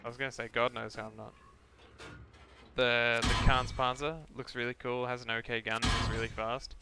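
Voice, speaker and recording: Gravelly-edged man chatting while gaming. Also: medium pitch, flat delivery, moderate pace, over music. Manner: rambling, hesitant, thinking aloud. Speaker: live game streamer. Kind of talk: casual chat while gaming, reading out chat. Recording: game battle noise behind voice. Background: game artillery fire and vehicle engine sounds.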